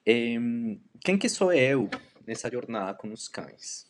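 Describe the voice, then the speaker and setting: Male dog educator, native Spanish speaker from Colombia with audible accent, mid-range voice, measured self-introduction talk with hesitant pauses. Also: medium pitch, very animated, a little hiss or hum. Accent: Colombian Spanish accent in Portuguese. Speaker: dog trainer and canine educator.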